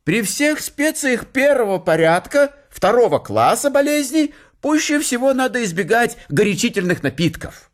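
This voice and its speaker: High-pitched pompous old physician. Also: male voice, big pitch swings, normal speed. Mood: pompous caution. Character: old male physician giving pompous medical advice.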